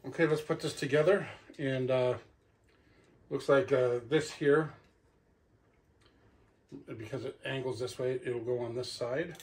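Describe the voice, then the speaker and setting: A man's voice: medium pitch, steady tone, a little slow, long pauses. A middle-aged man giving a casual hands-on unboxing review, talking half to himself as he handles the gear in a living room. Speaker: product reviewer. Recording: clicks and clear background noise.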